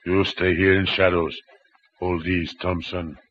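Wary jungle guerrilla, clipped broken English, old radio serial, dull and noisy recording. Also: male voice, low voice, flat delivery, measured pace, a bit bright. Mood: terse, watchful. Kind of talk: 1940s radio adventure acting.

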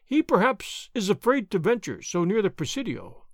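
A man's voice: sits high, huge pitch swings, no echo, studio quality, exaggerated voice. A man doing a nervous fat innkeeper. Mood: anxious. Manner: anxious, hurried.

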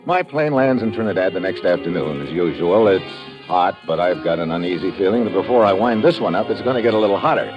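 A man acting a deep-voiced hard-boiled radio detective. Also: quick pace, few pauses, natural voice. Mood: wry, tense. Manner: laconic, wisecracking, slangy. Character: wry hard-boiled American investigator, adult man. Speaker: lead actor and narrator. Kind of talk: old-time radio detective drama, first-person narration. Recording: muffled old radio recording, music behind.

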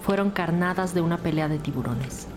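Audio drama investigator, female actor: businesslike, serious policewoman, fairly low pitch, clear music bed. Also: brisk, close to an everyday voice. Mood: serious, determined. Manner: businesslike, direct. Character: composed, determined female police detective.

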